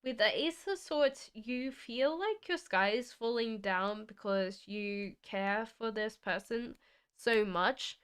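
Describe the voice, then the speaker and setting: Aussie-british lady doing tarot on a stream, slow and chatty, reads out comments, laughs a lot. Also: on the high side, fairly bright tone, clean tone. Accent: Australian mixed with British. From Australia. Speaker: tarot reader. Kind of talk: live-stream tarot reading. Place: home in the UK.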